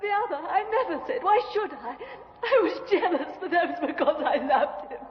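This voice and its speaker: A woman's voice: very high voice, animated, brisk, some chatter behind. An actress playing a jealous young woman on trial, pleading and close to tears, in 1950s radio drama style. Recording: echoey, dull old radio recording.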